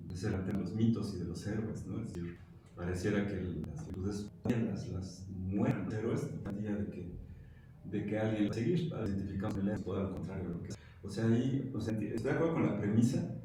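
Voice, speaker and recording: A man's voice: lowish voice, fairly quick, background noise. A man in a casual group discussion, speaking in a thoughtful, philosophical way with hesitant restarts. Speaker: discussion participant. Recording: echoey room, far from the mic.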